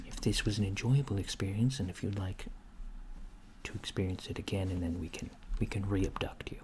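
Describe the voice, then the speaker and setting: A man doing soft-spoken ASMR roleplay as a polite, curious alien clerk, hesitant and apologetic, often slipping toward a whisper. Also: sits low, fairly even intonation, brisk. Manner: hesitant, apologetic, earnest questioning, drifting into whispers. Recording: noisy close recording with keyboard typing. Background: computer keyboard typing.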